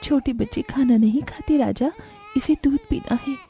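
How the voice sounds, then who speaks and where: Lady doing a poor village mom in a kids cartoon, high soft voice, tender n emotive. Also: normal speed. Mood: pleading, tearful. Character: poor, tender, careworn mother in a village family. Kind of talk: children's cartoon story acting.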